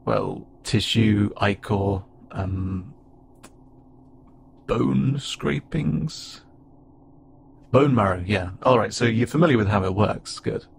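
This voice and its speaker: Low gravelly male alien acted by a man, friendly, curious, awkwardly protective, halting casual delivery, audio roleplay, steady background noise. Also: heavily acted. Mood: matter-of-fact, reassuring. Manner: casual, halting, gently wry. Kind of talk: conversational audio roleplay acting to an unheard listener.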